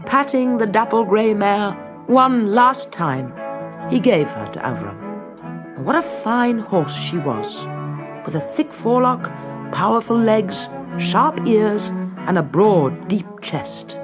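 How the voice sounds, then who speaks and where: A storyteller narrates a children's tale with dramatic, widely varied intonation. The reading is measured and unhurried, with faint music underneath. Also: mid-range voice, expressive.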